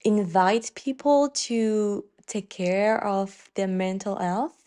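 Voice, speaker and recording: A woman's voice: mid-range voice, slow, dark tone. A woman hosting an interview, asking questions loosely with many filler words. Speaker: podcast host.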